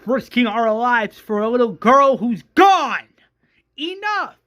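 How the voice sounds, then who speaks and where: A performer voicing an enraged, desperate man who rants at his fellow survivors in strained, emphatic, repetitive shouts. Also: mid-range voice, sing-song, moderate pace, slightly rough, pitched above the performer's own voice, exaggerated voice.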